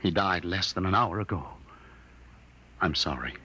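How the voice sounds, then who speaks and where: An actor playing a compassionate, commanding spaceship captain in a 1950s radio drama, grave and earnest. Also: male voice, low voice, animated, normal speed, sharp tone, husky, exaggerated voice. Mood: grave, gentle. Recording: vintage radio recording, faint noise.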